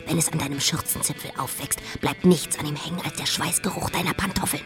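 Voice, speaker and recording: A woman's voice: deep voice, fairly even intonation, quick pace, over music, natural voice. An actress playing a proud, imperious, cutting queen in a radio drama, haughty and declamatory. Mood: contemptuous.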